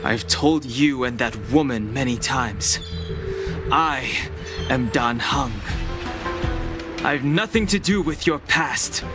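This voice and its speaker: Man acting a slow-spoken, reserved young hero. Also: mid-range voice, natural voice. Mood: firm. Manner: earnest, restrained. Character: reserved, weary young man, firm and guarded. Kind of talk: dramatic video game voice acting. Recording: loud music under the voice.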